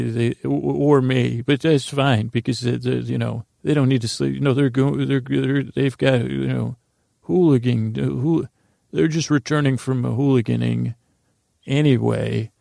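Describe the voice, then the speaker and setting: A man hosting a sleep podcast, rambling through a halting bedtime monologue full of restarts and drawn-out pauses. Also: mid-range voice, vocal fry, darkish tone, no room sound, studio quality.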